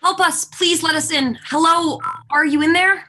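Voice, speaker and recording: A woman's voice: very high-pitched, fairly even intonation, normal speed, bright, forceful tone, slight background noise. A woman playing a desperate village refugee pleading for help in a stage play reading.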